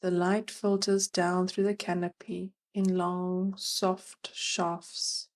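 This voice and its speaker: A woman narrating a guided meditation as its guide, gentle and soothing, leaving long pauses between phrases. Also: on the low side, flat delivery.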